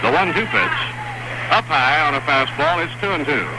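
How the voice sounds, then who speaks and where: A man calling a baseball game play by play on live radio, describing each pitch in a steady, measured way. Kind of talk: live radio sports commentary. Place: stadium broadcast booth. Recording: noisy vintage 1960s radio broadcast.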